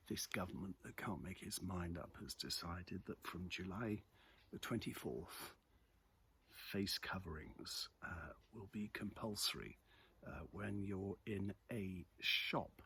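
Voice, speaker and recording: A British man giving a casual, off-the-cuff commentary on the news in a reflective, halting way. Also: deep voice, fairly bright tone. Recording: clearly noisy ambient background.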